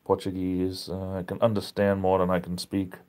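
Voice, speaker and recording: A tired middle-aged man hosting a casual live stream, reading the chat aloud, relaxed and chatty and easily amazed. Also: deep voice, moderate pace.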